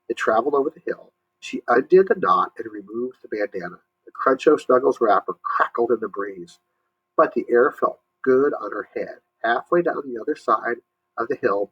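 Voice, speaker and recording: A man reading a story aloud to young listeners, pausing to explain and ask questions. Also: mid-range voice, breathy edge, highs cut off. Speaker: storybook reader, teacher.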